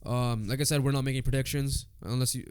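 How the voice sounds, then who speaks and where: Congested man, smooth clear voice, sports podcast co-host, halting list reading. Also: mid-range voice, steady tone, a little mellow. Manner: reads off lists haltingly with fillers. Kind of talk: casual sports chat.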